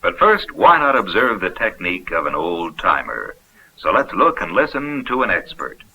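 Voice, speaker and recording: A man's voice: low-pitched, very animated, moderate pace, bright, forceful tone, breathy edge. An American man reading scripted narration for a 1970s instructional film in a folksy, upbeat, explanatory manner. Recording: dull, noisy vintage 16mm film soundtrack.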